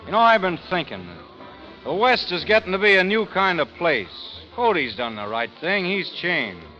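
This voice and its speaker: An actor playing a weary, steely frontier gunfighter in old-time radio drama style, sometimes hesitant, sometimes terse. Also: male voice, sits high, animated, moderate pace, pushed and bright. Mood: reflective, weary. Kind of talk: 1940s radio acting. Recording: muffled old radio broadcast, faint music.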